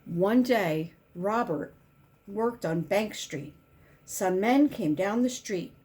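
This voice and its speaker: A woman reading a children's picture book aloud as a volunteer, in simple, deliberate storytelling with emphasized key words. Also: medium pitch, lively intonation, darkish tone.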